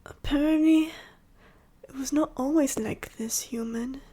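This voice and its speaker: A woman voicing a sorrowful, gentle dying dragon in an ASMR roleplay, whispery and short of breath, with slow, formal, old-fashioned phrasing. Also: high voice. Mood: wistful, weary. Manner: slow, formal, archaic phrasing with heavy pauses. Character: dying female dragon, last of her bloodline, sorrowful, gentle.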